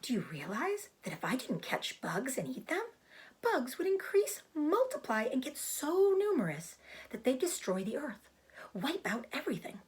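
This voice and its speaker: A genteel female spider from a storybook, acted out by a woman, speaks in a thin, breathy voice that lifts high and swoops. She is self-assured and clever, explaining her trapper's ways with proud, matter-of-fact calm. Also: moderate pace, heavily acted. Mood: matter-of-fact, defensive.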